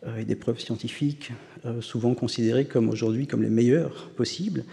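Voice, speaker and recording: Man, very dark voice, academic lecture, hesitant, lecture hall reverb. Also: medium pitch, fairly even intonation, fairly quick, creaky. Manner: hesitant, many "euh" fillers and restarts. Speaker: university professor giving a conference talk.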